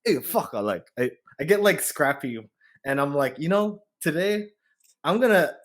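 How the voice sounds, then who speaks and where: A man's voice: a little high, moderate pace. A man from Hawaii chatting casually as a podcast host, telling a story in slangy speech and acting out what he was thinking. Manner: slangy, animated storyteller who acts out his thoughts.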